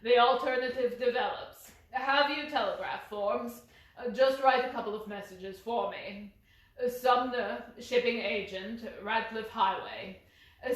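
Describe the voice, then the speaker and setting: Brisk, exacting male detective for a story reading, voiced by a woman, higher register, strong room echo. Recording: very echoey room.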